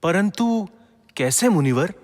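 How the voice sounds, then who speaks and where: A man's voice: high voice, lively intonation, takes it slowly, exaggerated voice. An actor playing a humble, devout young king of legend in a mythological audio drama, formal and earnest. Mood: despairing. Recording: long reverberant hall echo.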